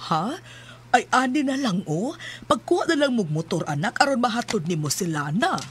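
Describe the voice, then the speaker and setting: A woman acting as a warm, insistent middle-aged mother in a radio drama, with a Cebuano Filipino accent. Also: on the high side, very animated, moderate pace, music in the background. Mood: persuasive.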